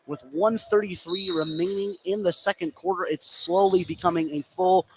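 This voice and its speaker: A man doing live radio play-by-play of a basketball game, following the action continuously and getting excitable on big plays. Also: high-pitched, moderate pace, low-quality recording. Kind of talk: live radio sports commentary. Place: courtside in a sports gym during a game. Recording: clear gym noise behind voice.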